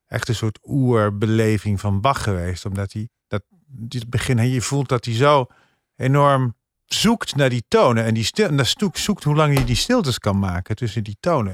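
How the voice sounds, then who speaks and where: A man's voice: on the low side, dry recording, studio quality. A man with a Dutch accent chatting on a podcast panel, explaining things effusively. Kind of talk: casual opinionated chat.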